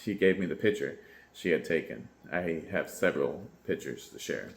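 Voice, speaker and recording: A man with a very low voice reads a book aloud at a steady pace. He sometimes trips over a word and backs up to fix it. The sound bounces around a bare room. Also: normal speed, gravelly. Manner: steady read-aloud, stumbling and correcting himself mid-line. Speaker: YouTuber reading a book aloud. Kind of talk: reading a book aloud, then casual commentary. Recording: echoey room.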